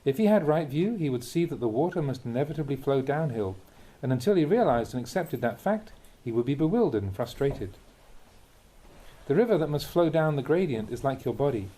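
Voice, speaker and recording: A man reading a Buddhist teaching aloud as a Dhamma talk, in measured, deliberate phrases with emphatic contrasts. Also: mid-range voice, lively intonation, mellow, low-quality recording.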